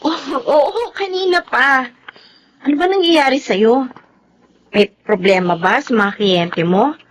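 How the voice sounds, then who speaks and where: An actress playing a supportive, inquisitive best friend in a Filipino radio drama, coaxing and reassuring, with a Filipino accent. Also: female voice, high voice, takes it slowly. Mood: concerned. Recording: dull, noisy lo-fi drama audio.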